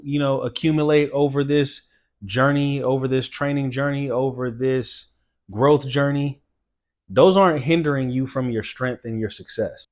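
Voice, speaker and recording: A man's voice: mid-range voice, a little mellow. A man in his late thirties hosting a fitness channel, giving an earnest, emphatic motivational talk and repeating phrases for stress. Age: late 30s.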